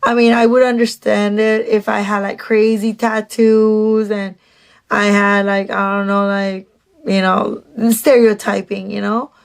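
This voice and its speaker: A woman with a Mexican Spanish accent, chatty and animated, telling a dating story to her phone camera with many restarts. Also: mid-range voice, measured pace, gravelly. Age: adult. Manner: slips into Spanish "dije". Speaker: dating vlogger.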